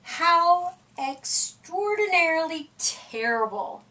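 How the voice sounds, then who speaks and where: Female storybook reader, high pitch, slow and deliberate with dramatic emphasis, recorded at a distance from the mic. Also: expressive, pushed and bright. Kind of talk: expressive read-aloud of a children's picture book.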